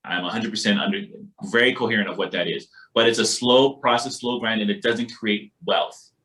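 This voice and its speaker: A man's voice: on the low side, steady tone, a little fast, a bit bright, low-quality recording. A man from Barbados teaching a workshop as instructor, informal and motivational, with an emphatic, colloquial delivery. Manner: emphatic, colloquial, repeats catchphrases. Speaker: financial literacy workshop instructor.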